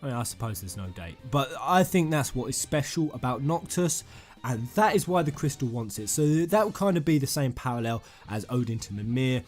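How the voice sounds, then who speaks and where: A man hosting a fan theory video, rambling and emphatic, addressing his viewers directly in an excited commentary style. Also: mid-range voice, a little fast. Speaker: gaming YouTuber, host. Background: music under the voice.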